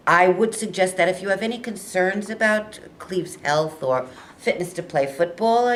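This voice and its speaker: A woman playing an earnest, reassuring high school football coach in a staged reading, with a measured, persuasive delivery. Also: a little hiss or hum.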